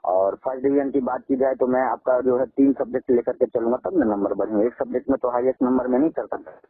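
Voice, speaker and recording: A man's voice: mid-range voice, steady tone, fast talker, husky, no room sound. A man on a recorded phone call, talking persuasively in a coaxing, avuncular sales manner. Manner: coaxing, often addresses listener as child. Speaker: phone caller selling exam results. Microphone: phone line.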